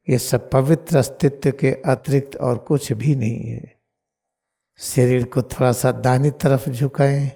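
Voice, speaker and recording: A man with an Indian accent leading a guided meditation as a teacher, giving deliberate, repeated, solemn instructions. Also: mid-range voice, gravelly, warm tone.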